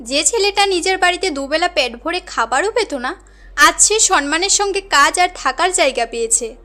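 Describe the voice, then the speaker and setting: High, bright woman narrating a story. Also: expressive, moderate pace. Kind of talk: scripted cartoon story narration.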